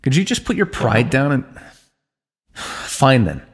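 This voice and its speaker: A man voicing a wry, wounded fantasy ruler who teases an old comrade in a calm, dry way, in an ASMR roleplay. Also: mid-range voice, measured pace, slightly rough, exaggerated voice. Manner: dry, teasing, calm understatement.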